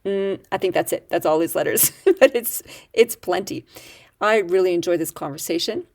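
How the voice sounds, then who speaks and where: A woman hosting a leadership podcast, chatty and quick to laugh at herself. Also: lowish voice. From Canada. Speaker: podcast host. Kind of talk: podcast intro and interview.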